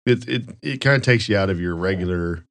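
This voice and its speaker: A man with an American accent and a fairly low voice, recorded close and dry. He chats casually and rambles through stories in a joking way, like a podcast host. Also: a little fast, fairly bright tone, no room sound. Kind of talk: casual chat and storytelling.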